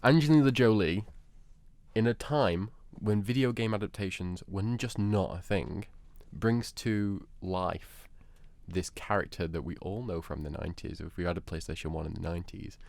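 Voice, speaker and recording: Gravelly, low-voiced man arguing his pick. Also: normal speed, a little mellow, no room sound. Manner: emphatic, repeats phrases for effect. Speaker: podcast debate panellist. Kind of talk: casual opinionated chat.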